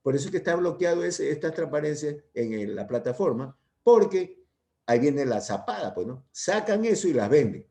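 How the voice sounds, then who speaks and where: A man's voice: a little high, big pitch swings, moderate pace, warm tone. An older man giving an informal university medical lecture, rambling and hesitant, often checking in with his students. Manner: rambling, hesitant, full of "¿ya?" and "¿no?" tags.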